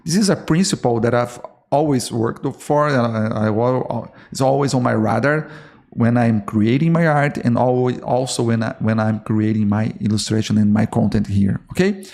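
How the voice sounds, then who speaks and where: Brazilian man, gravelly earnest podcast talk. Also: medium pitch, moderate pace, darkish tone. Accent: Brazilian Portuguese. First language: Portuguese. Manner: earnest, repeats and restarts words, frequent "okay?". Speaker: podcast host. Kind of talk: solo podcast talk to artists.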